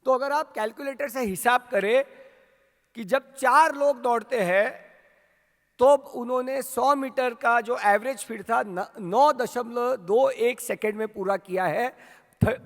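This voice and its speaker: Rousing Indian man with very high pitch. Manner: rousing, asks the crowd questions, builds to shouts. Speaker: company leader addressing a sales team. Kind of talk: motivational speech. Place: large hall at a training event. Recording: long hall reverb.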